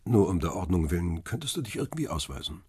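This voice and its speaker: A worldly, guarded male photographer in a radio crime play. His voice is deep and very raspy, captured in a dry studio, with a casual, wry delivery. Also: big pitch swings, fast, a little mellow, no echo, exaggerated voice. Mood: courteous, guarded. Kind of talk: radio crime drama dialogue.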